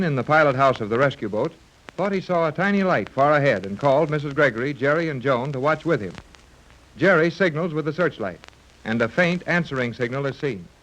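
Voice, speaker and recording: A man's voice: a little high, fairly bright tone, poor audio. A man narrating a 1930s radio adventure serial from a script in period announcer style.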